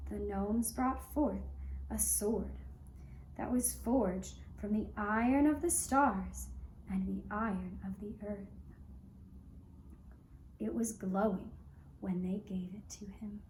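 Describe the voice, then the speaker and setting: A woman telling a children's puppet-show story in a gentle, measured and deliberate way, with pauses between phrases. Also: medium pitch, fairly even intonation, slight background noise. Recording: roomy, echoing indoor sound.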